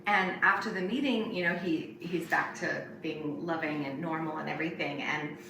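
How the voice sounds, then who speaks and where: Lowish-voiced woman in an echoey room. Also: moderate pace, vocal fry. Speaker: podcast guest. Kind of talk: casual chat about a novel. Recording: echoey room, distant mic, noisy.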